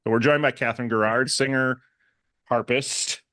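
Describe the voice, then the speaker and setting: A man's voice: medium pitch, fairly even intonation, normal speed, sharp tone, no room sound. A man hosting a podcast with casual banter and interview questions. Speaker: podcast host.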